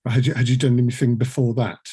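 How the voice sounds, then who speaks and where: A man hosting a relaxed group interview, talking in a jokey, rambling, self-deprecating way. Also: medium pitch, fast, breathy edge. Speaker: podcast host and producer. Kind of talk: casual group chat interview.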